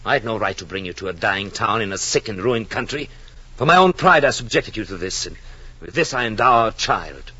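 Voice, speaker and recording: An actor playing an earnest, brooding European husband and expectant father who narrates his journal in solemn, literary, measured 1940s radio drama style. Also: fairly low pitch, lively intonation, bright, forceful tone, slightly rough, no echo, lo-fi sound, heavily acted. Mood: stern resolve. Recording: old 1940s radio, music behind.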